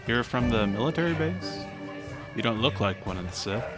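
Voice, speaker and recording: Casting: man for game protagonist lines, medium pitch, voice-over delivery over music. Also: expressive, moderate pace. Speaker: voice-over player of the game's hero. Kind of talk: acted reading of game dialogue choices.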